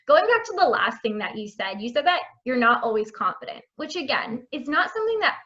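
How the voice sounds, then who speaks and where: A woman's voice: high voice, big pitch swings, brisk, bright, forceful tone. A woman hosting an interview podcast, talking in a casual, upbeat, chatty way and laughing easily. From Italian family background. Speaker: podcast host. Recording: slightly dull, lo-fi sound.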